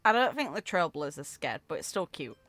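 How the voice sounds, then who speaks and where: A woman's voice: sits low, big pitch swings, brisk, fairly bright tone. A woman giving casual commentary as a content creator reacting to a video game.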